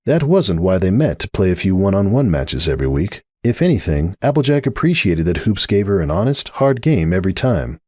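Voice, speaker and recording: A man's voice: low voice, very animated, fairly quick, hardly any pauses, dark tone, no echo, studio quality. A man reading a story aloud as narrator, in a scripted, dramatic storytelling style.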